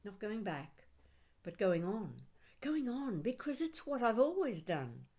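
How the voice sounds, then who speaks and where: A mature woman reading her own poetry expressively, with deliberate phrasing and dramatic pauses. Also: medium pitch, very animated, mellow. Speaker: poet reading own work.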